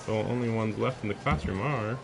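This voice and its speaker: A man's voice: fairly low pitch, brisk, gravelly, noisy background. A man live streaming a visual novel, reading the text aloud and dropping in casual, sarcastic asides. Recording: music behind the voice. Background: game music.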